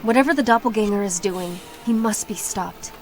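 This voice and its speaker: An actress playing a serious, resolute young female warrior in a video game cutscene, firm and measured, with music behind her. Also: mid-range voice, close to an everyday voice.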